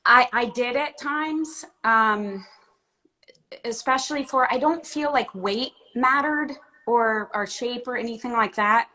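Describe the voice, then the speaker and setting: A woman from Florida answering interview questions in a measured, hesitant way with many pauses. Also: a little high, bright, forceful tone, rough recording. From Florida, United States. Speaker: guest.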